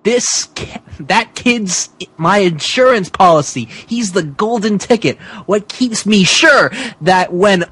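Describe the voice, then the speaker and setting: A man's voice: sits high, lively intonation, fairly bright tone, husky, no room sound, heavily acted. A man voicing an arrogant, scheming wrestling boss who gives imperious orders in a mock-dramatic story reading. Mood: smug, taunting. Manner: imperious, drawn-out commands.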